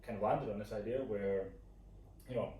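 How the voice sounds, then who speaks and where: A man's voice: lowish voice, fairly even intonation, normal speed, warm tone. A young man with a Scottish accent, co-hosting a vlog in casual, informal chat, thinking aloud as he explains. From Scotland. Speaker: co-host of a couple's vlog. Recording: echoey room, distant mic, faint noise.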